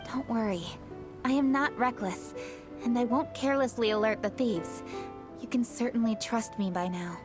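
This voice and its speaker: A woman's voice: on the high side, normal speed, close to an everyday voice. A woman voicing a poised, dutiful young woman official in a video game, speaking formally and with self-assurance. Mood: firm, determined. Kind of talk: English video game voice acting. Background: background music.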